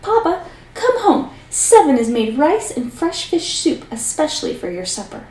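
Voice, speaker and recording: A woman's voice: mid-range voice, expressive, normal speed, darkish tone, lightly acted. A woman voicing a selfish oldest daughter in a read-aloud storybook. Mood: calling. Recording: roomy, mic at a distance.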